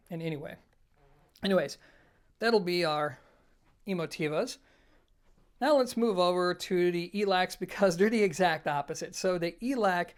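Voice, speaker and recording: A reviewer presenting an informal product comparison, explaining things conversationally and speaking directly to viewers. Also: mid-range voice. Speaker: host of an audio equipment review channel.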